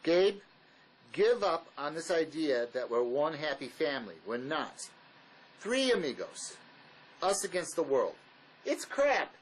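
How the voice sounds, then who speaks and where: Actor as bitter male fugitive, wildly swinging pitch. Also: sing-song, measured pace, low-quality recording. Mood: blunt, impatient. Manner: sarcastic, cutting. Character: bitter, blunt male fugitive, sarcastic drifter. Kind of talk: dramatic script reading.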